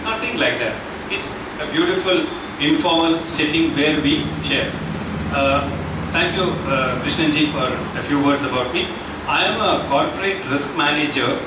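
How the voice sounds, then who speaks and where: A middle-aged man with an Indian English accent, giving a measured talk with many pauses to a forum. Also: fairly high pitch. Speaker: speaker. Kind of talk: public talk to a senior citizens' forum. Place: meeting hall. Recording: echoey hall, mic from far off, background noise.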